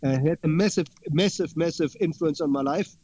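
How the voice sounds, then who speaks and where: A man's voice: a little high, fairly quick, dark tone, muffled recording. A man speaking as an interview guest, talking earnestly and repeating key words for emphasis. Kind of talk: interview conversation.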